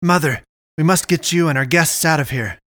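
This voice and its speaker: A narrator voicing a protective, commanding young village man in a fantasy audiobook, speaking with forceful urgency. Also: mid-range voice, normal speed, no room sound, close to an everyday voice. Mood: alarmed.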